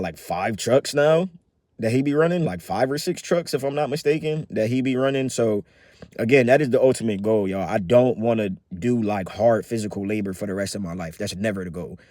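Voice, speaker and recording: A man in his thirties giving a casual personal update as a vlogger, rambling with many fillers and restarts in a relaxed, upbeat way. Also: lowish voice, no echo, clean studio sound. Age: 30s.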